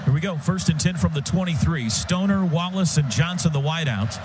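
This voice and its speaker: American football radio announcer man over loud noise. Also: a little high, normal speed. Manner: clipped phrases, calls action as it unfolds. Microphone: broadcast booth microphone. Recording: loud stadium noise behind the voice. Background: loud outdoor stadium ambience.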